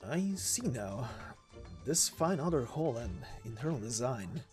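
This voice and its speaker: A man voicing a curious, measured weapons engineer in a game story, speaking precisely and matter-of-factly. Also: medium pitch, very animated, over music. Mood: appraising.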